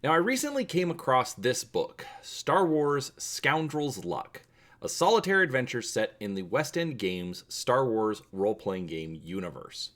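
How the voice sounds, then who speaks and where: A man's voice: mid-range voice, big pitch swings, a little slow, a bit bright. A middle-aged man hosting a tabletop gaming channel, talking in a casual, presenter-like introduction style.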